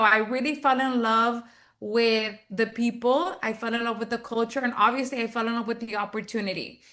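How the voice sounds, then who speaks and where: A woman's voice: a little high, sharp tone. A woman in her thirties from Texas, telling her story in a chatty, upbeat way with lots of fillers, as a guest on a video call. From Texas, United States. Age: 30s. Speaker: guest, business owner. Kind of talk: casual interview on a video call.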